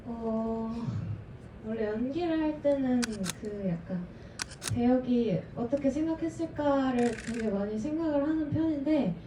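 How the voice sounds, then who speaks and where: A woman's voice: high-pitched, lively intonation, unhurried. A woman, an actress from Seoul, reflecting carefully on how she prepared for her role. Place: cinema auditorium. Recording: distant, roomy hall with noise.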